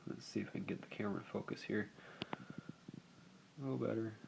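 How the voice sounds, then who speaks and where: A man with a lowish, gravelly voice walks viewers through a hands-on demonstration in a casual, unscripted way. His intonation stays fairly even, with frequent creak. There is faint noise behind him in a home recording. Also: fairly quick. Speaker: hobbyist video host demonstrating alarm wiring. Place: indoors at home.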